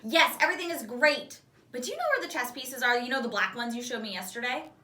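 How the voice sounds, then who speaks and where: A woman's voice: fairly high pitch, quick pace, pushed and bright. A woman voicing a curious, playful child in a children's storybook. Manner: questioning, playful. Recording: roomy echo, distant microphone.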